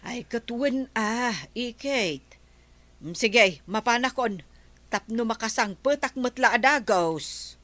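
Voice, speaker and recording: A woman voicing the worried wife of a village captain in a radio comedy drama, speaking in a slow, plaintive way. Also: fairly high pitch, fairly bright tone, dry recording. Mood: scolding.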